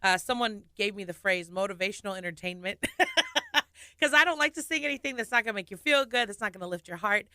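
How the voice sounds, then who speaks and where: Bright, laughing woman radio guest. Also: mid-range voice, brisk, no room sound, studio quality. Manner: lively, laughing, candid storyteller. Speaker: singer and nurse guest. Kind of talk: casual radio interview. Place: radio studio.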